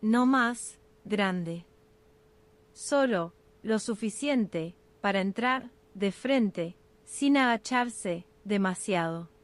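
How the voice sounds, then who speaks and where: Slow woman narrating sleep meditation. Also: medium pitch, lively intonation. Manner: slow, unhurried short phrases with pauses.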